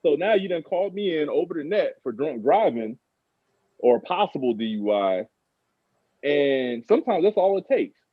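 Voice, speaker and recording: A man's voice: a little high, very animated, normal speed, no room sound. An American man in his thirties telling stories casually and informally as a podcast guest. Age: 30s. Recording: phone-like thin radio sound.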